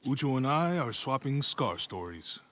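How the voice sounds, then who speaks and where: A man playing an easygoing, loyal companion, relaxed and colloquial, in a video game cutscene. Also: medium pitch, moderate pace, a little hiss or hum. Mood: joking. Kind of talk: casual, naturalistic game cutscene acting. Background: faint background music.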